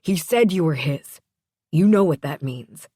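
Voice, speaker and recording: Protective dad, acted by a woman, stern, agitated, forceful, audiobook character, dry sound. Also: low-pitched, unhurried, vocal fry, slightly rough, no echo, exaggerated voice. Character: stern, agitated, protective father of grown daughter.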